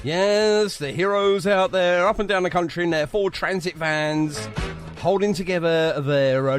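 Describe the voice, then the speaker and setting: A man hosting a live radio show with casual, jokey, teasing banter. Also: a little high. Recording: music behind the voice. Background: music bed.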